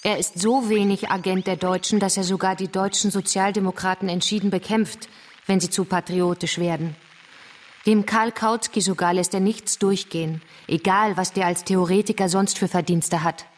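A woman's voice: medium pitch, steady tone, moderate pace, slight background noise, natural voice. An actress playing a scornful, argumentative revolutionary woman who lectures bitingly in a German radio drama. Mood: combative. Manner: didactic, biting.

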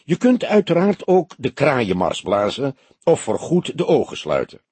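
Man reading as a grave old detective, husky voice. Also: mid-range voice, wildly swooping pitch, darkish tone, no echo, pitched above the performer's own voice, exaggerated voice. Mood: lecturing. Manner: grave, measured, lecturing. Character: old male police detective, solemn, philosophical, gentle. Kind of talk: audiobook character dialogue.

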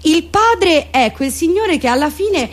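A woman's voice: very high voice, lively intonation, unhurried. A woman performing a comic monologue in a theatrical, mock-solemn, exaggerated way. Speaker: actress and comedian performing her own monologue.

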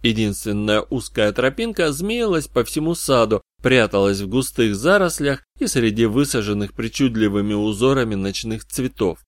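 A man narrating a first-person fantasy detective audiobook in a measured, literary storytelling manner. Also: fairly low pitch, lively intonation, dry recording, studio quality.

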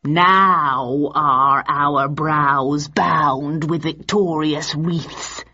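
A woman voicing a cruel male stage king who declaims Shakespearean verse in a slow, drawn-out, hammy way. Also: low-pitched, fairly even intonation, bright, forceful tone, husky, dull audio, heavily acted. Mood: grand declaiming.

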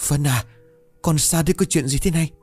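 A man's voice: on the high side, normal speed, a little mellow, no room sound, soft background music, pitched above the performer's own voice, natural voice. A man voicing a panicked middle-aged mother who wails and sobs over her son. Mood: alarmed. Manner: frantic, wailing, sobbing.

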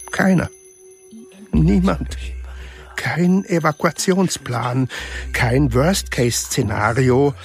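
A man narrating a radio drama in a grave, measured, solemn way. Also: medium pitch, darkish tone. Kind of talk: slow, solemn literary narration.

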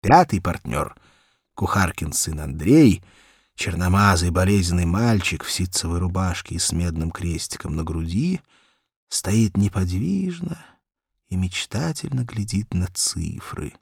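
A man narrating a children's story as an audiobook, reading expressively in deliberate, measured phrases. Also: low-pitched, expressive, gravelly, slightly rough, dry recording, clean studio sound.

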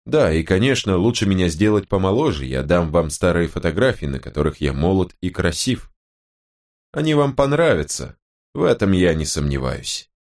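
Man as a vain, courteous old gentleman, lively intonation. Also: low voice, normal speed. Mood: courteous, pleased. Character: courteous, self-assured old male letter writer, vain. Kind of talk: audiobook reading of a character's letter.

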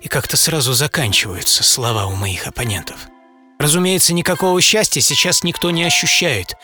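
A man's voice: fairly high pitch, fairly quick. A man telling a dramatized first-person story as a radio presenter, theatrically, savouring short phrases. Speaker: writer and presenter of the programme. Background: loud music bed.

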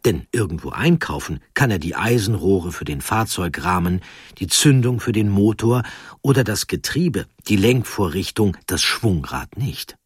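A man reading a scripted documentary narration with deliberate, emphatic phrasing. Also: fairly low pitch, expressive, husky, no echo, clean studio sound.

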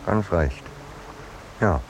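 Drily polite, lowish-voiced painter. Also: male voice, expressive, vocal fry, heavily acted. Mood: dry, unruffled. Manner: dry, polite, unhurried irony. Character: peaceable, unworldly male landscape painter, drily unruffled. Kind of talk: 1950s German radio play acting. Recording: noisy 1950s radio drama recording.

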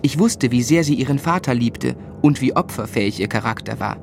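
An actor playing an earnest, courteous young gentleman who narrates and speaks in a formal, measured way in a German literary radio drama. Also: mid-range voice, lively intonation, a little hiss or hum, natural voice. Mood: troubled.

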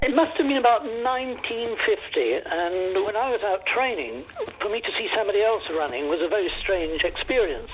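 A man's voice: high-pitched, animated, normal speed, over music. An older man recalling past experiences in a spoken reminiscence. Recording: dull, noisy archive interview recording.

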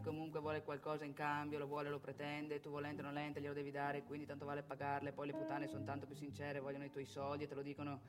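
A woman's voice: low voice, close to an everyday voice. A woman playing a brash, bitter, boastful womanising divorced salesman in a theatrical monologue, with colloquial, crude, volatile delivery and rhetorical questions. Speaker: stage monologue performer. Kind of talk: theatrical monologue addressed to audience.

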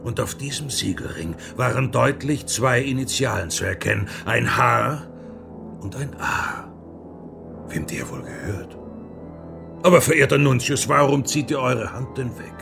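An actor playing a defiant religious reformer in a German radio play, biting, sarcastic and deliberate. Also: male voice, medium pitch, animated, creaky, over music. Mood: measured, accusing. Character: defiant, sardonic religious reformer confronting his accuser.

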